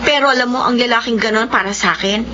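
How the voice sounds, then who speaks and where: A woman's voice: fairly high pitch, fast talker, lightly acted. An actress playing a practical, headstrong young working mother with a Filipino accent in a radio drama. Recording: dull radio recording, crowd chatter behind.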